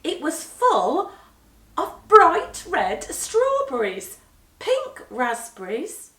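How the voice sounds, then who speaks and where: A woman reading a children's story aloud with expressive, dramatic emphasis, moving between hushed and swelling delivery. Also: very high voice, very slow. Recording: echoey room, distant microphone.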